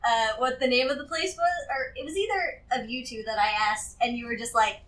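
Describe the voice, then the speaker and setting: A woman with a high, bright voice chats through a tabletop game. She is giggly and informal as she describes her character's moves. She sounds a little distant from the microphone. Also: expressive, brisk.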